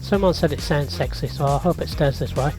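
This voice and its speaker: A man, hoarse and croaky from being sick, casually narrating a road trip and drone flight with easygoing fillers. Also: a little high, fairly quick, over music. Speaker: vlog host. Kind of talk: casual travel vlog narration.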